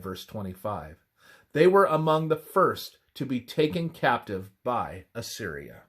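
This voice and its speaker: A man reads a scripted religious text aloud as devotional narration, in a measured, emphatic scripture-reading manner. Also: mid-range voice.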